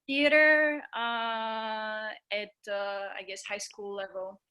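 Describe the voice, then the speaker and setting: A woman's voice: a little high, steady tone, takes it slowly, pushed and bright. A woman from Bulgaria, a theatre teacher, chatting casually as she introduces herself on a video call. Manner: chatty, upbeat, with fillers.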